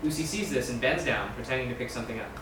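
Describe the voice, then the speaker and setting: Fast-reading man in a very echoey room. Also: mid-range voice. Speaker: reader of scene directions. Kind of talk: scripted narration at table read. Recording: distant, very echoey room with background noise.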